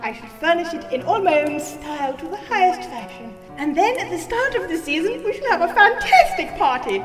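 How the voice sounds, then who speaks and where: Gushing snobbish young bride acted by a woman, extremely high and genteel, diary entries in echoing reverb. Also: big pitch swings, a little fast, far from the mic. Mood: haughty excitement. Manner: affected, genteel, effusive. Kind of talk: period parody audio drama. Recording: echoey reverberant room, faint music behind.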